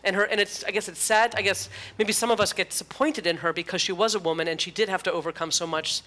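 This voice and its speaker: A woman's voice: medium pitch, steady tone, quick pace, a bit bright. A woman rabbi asking a guest a long question, searching for words with many "you know"s.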